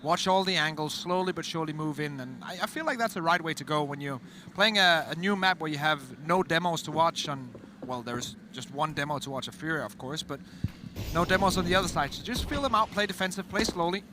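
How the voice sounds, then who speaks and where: Danish-accented male caster, high-ish and quick, eager breakdowns. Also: noisy background. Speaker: esports caster. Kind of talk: esports commentary.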